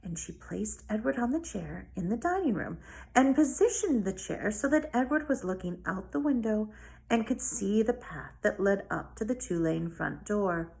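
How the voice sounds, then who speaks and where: A woman reads a children's novel aloud as a storyteller, with deliberate, dramatic phrasing. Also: medium pitch, lively intonation, vocal fry, darkish tone. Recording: noticeable background noise.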